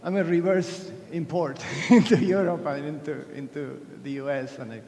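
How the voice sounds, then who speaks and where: Man, gravelly voice, chatty conference keynote, big echoey hall. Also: a little high, fairly even intonation, normal speed, dark tone. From Argentina. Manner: chatty, self-deprecating, many restarts and fillers. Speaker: conference speaker. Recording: echoey hall with long reverb.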